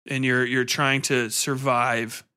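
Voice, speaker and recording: A man in his thirties with a bright, clear-edged voice at an average pitch. He talks like a casual podcast host, informal and full of personal anecdote. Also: level tone, takes it slowly. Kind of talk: casual conversational interview.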